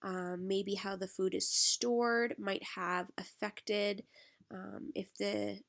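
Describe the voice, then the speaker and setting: Stuffed-up woman lecturing, gravelly. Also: mid-range voice, takes it slowly. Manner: lots of "um" fillers, relaxed explaining. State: stuffed up from allergies. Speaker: instructor. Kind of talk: recorded lecture over slides.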